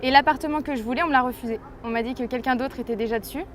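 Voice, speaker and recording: A woman's voice: sits high, rapid-fire, slight background noise. A woman in her twenties from France, giving an earnest, hesitant personal faith testimony to the camera. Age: 20s. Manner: earnest, hesitant, reflective storytelling.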